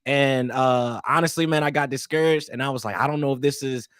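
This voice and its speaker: A young man from East St. Louis, guest on an interview stream, telling his story in a relaxed, rambling way with lots of "man" and restarts. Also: mid-range voice, a little fast, clean tone, no echo, studio quality. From East St. Louis, Illinois.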